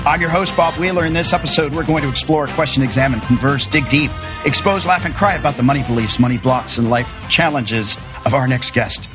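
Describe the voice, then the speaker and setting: A man's voice: medium pitch, fairly quick, few pauses, music in the background. An American man hosting a podcast, moving between introductions and conversational interview questions.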